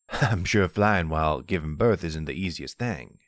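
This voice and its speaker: A man voicing an older mafia father in an audio roleplay, doting and gruffly protective. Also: low voice, lively intonation, measured pace, no echo, clean studio sound. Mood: encouraging. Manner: tender to daughter, gruffly threatening to others.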